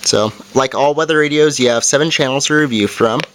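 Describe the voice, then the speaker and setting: A man with a mid-pitched voice presents a homemade product demonstration. He talks informally and plainly, going through each part and setting one step at a time. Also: a little fast, fairly bright tone, poor audio. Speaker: amateur video reviewer. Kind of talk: casual product review and demonstration.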